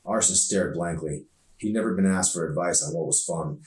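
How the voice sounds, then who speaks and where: A man with a very low voice reads fiction aloud as an author narrating his own book. The sound is echoey, with the microphone some way off. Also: moderate pace, a little mellow. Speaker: author reading own novel. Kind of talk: scripted audiobook narration. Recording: roomy echo, distant microphone.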